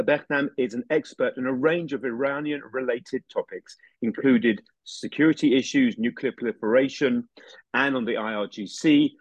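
A man hosts an interview podcast, giving a formal introduction and handing over to his guest. His mid-pitched voice sounds dry, with no room sound around it, and he restarts phrases now and then. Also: dry recording, low-quality recording. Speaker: podcast host. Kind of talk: interview hosting.

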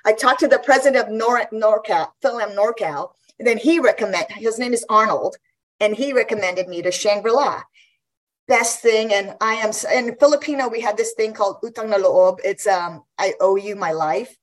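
A woman's voice: medium pitch, normal speed, poor audio. A woman in her fifties from Guam, chatting casually as an interview guest, laughing often and restarting her sentences. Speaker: guest athlete.

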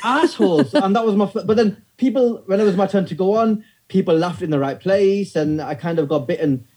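A comedian in his thirties with a northern English accent, telling stories in a relaxed interview with wry, self-deprecating humor. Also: sits high, brisk, husky. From north of England. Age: 30s. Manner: wry storyteller, self-deprecating, many fillers. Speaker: stand-up comedian guest. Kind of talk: casual interview chat.

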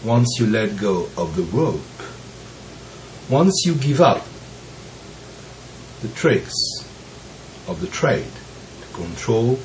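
Slow-spoken man recovering from bronchitis. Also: fairly low pitch, big pitch swings, a little hiss or hum, rough recording. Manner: slow, pausing, gentle with soft laughs. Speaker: Zen teacher. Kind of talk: slow reflective teaching talk.

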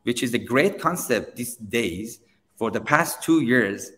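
A man whose first language is Persian, a seminar trainer answering interview questions in halting English with many restarts. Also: medium pitch, big-room sound. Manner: halting, many restarts, non-native grammar, animated when pressed. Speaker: seminar trainer and company founder.

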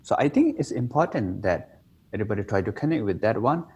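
A man's voice: lowish voice, very animated, fast talker, darkish tone, lo-fi sound, slight background noise. A man from Nepal with a Nepali accent, telling stories about meditation in a playful interview, in simple, broken English. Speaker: meditation teacher. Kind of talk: relaxed interview conversation.

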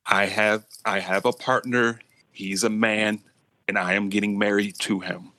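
A low, halting young man stammers and restarts his sentences, as a prank caller puts him on. The sound is close and dry, with no room at all. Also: flat delivery, bright, forceful tone, no echo. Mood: hesitant. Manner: halting, stammering, repeats words. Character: nervous young man coming out to family.

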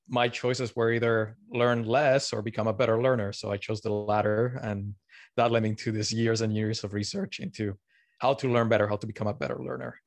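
A man from Colombia answers interview questions in an easy, friendly way, laying out his ideas step by step. His voice sits somewhat low, and the recording is dry and close with no room sound. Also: dry recording. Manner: relaxed, explanatory, friendly. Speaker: podcast guest.

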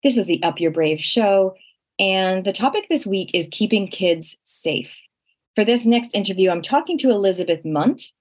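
A woman's voice: medium pitch, lively intonation, moderate pace. A woman with a Canadian accent hosting a radio talk show, earnest and chatty, often restarting her sentences. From New Zealand. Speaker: radio host.

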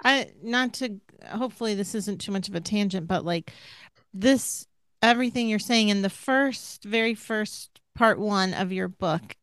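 Smooth-voiced woman podcast host. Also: mid-range voice, measured pace, fairly bright tone, no room sound. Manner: earnest and emotional. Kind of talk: podcast interview, reading book passages aloud.

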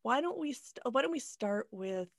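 A woman's voice: a little high, expressive, normal speed, no room sound, clean studio sound. A woman hosting a podcast, earnest and musing in casual chat, swearing freely. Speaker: podcast host.

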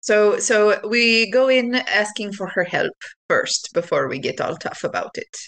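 A woman's voice: mid-range voice, animated, unhurried, a bit bright. A woman playing a tabletop role-playing game, casually talking through plans partly in character.

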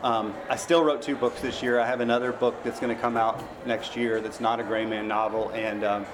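A man's voice: medium pitch, level tone, brisk, a crowd in the background. A middle-aged American man from Tennessee, a novelist in a casual interview, telling anecdotes in a self-deprecating way. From Memphis, Tennessee, United States.

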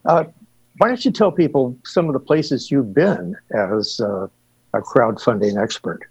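A man hosting an interview show, introducing his guest in a formal, deliberate way with many uhs. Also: mid-range voice, lively intonation, a little mellow, breathy edge, no room sound. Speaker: radio show host. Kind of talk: hosted interview. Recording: dull, dry, lo-fi audio.

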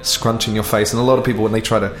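A man's voice: fairly low pitch, level tone, very fast. A man interviewing a singer, chatty and encouraging, with a light teasing laugh. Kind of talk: casual interview chat. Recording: echoey room with faint music.

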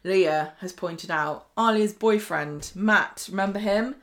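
A woman's voice: medium pitch, takes it slowly. Dry-witted woman in casual chat. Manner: dry teasing asides.

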